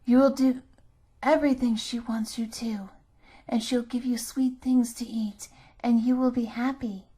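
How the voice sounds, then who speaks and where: A woman voicing a scheming stepmother in a storybook, sugary and hushed. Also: a little high, fairly even intonation, a little slow, slightly rough. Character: scheming stepmother, falsely sweet.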